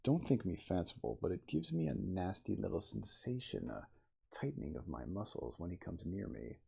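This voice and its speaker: A man voicing an earnest, uneasy, inquisitive gentleman of science in a storybook, speaking formally and emphatically. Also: low-pitched, very animated, moderate pace, vocal fry, slightly rough, heavily acted. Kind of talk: storybook character dialogue.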